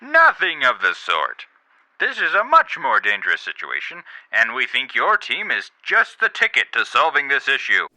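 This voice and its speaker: A man playing a pompous, stern president with dry, deadpan insults in a comedy radio play. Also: mid-range voice, normal speed, dry recording, full character voice, poor audio. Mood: grave, official. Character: pompous, stern president giving a secret mission, dryly insulting.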